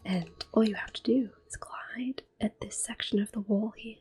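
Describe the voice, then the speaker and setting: A woman whispering close to the mic as she calmly plays through an old game and recalls it fondly. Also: medium pitch, normal speed, a little hiss or hum. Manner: soft whispering, gently nostalgic. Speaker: ASMR gameplay host. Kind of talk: whispered ASMR game commentary. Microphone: close sensitive mic. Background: faint game music.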